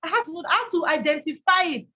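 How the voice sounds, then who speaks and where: A woman with a Nigerian accent and a loud, strong voice, giving an emphatic motivational talk and repeating her key lines. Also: extremely high pitch, lively intonation, fast, muffled recording. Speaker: motivational speaker.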